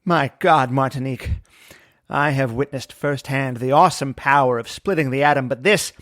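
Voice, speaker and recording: A man's voice: medium pitch, normal speed, fairly bright tone, no echo, studio quality, close to an everyday voice. An actor playing a remorseful, haunted man of science with a grave, melodramatic manner in an old-time radio detective drama. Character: haunted, remorseful male scientist who faked his death.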